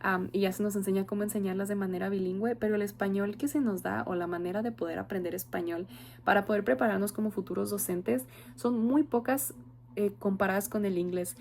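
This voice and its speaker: Earnest young Mexican woman presenting, mellow. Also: medium pitch, steady tone, brisk, creaky. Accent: Mexican Spanish. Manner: earnest, reflective, frequent "am" and "em" fillers. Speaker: university student. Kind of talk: oral class presentation.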